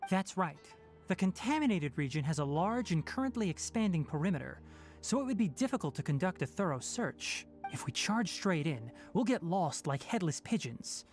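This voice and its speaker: A young forest watcher from a video game, speaks in a measured, businesslike way. His intonation moves around expressively while staying analytical and exact. Game music plays softly underneath. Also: high voice, big pitch swings. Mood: thoughtful. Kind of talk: scripted video game dialogue.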